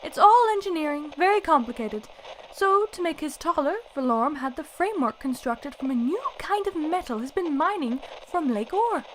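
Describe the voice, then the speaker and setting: A woman acts out an eager gossip phoning in to a radio drama's talk show. Her voice is high and very animated, breezy and earnest. A faint noise sits on the call. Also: moderate pace, poor audio. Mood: eager, gossipy. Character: chatty, gossip-loving female caller to a radio show.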